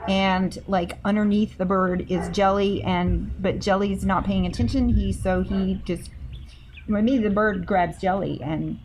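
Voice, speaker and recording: A woman's voice: mid-range voice, steady tone, measured pace, a little mellow, slight background noise, rough recording. A woman playing a tabletop game, describing her character's actions hesitantly with many ums and restarts. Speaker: player.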